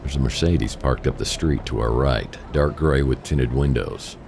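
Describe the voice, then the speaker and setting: A man's voice: a bass voice, normal speed, gravelly, darkish tone, in a large hall, a little hiss or hum. An actor playing a wry, hard-boiled private investigator who narrates his case in a laconic noir radio drama style. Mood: wry, watchful.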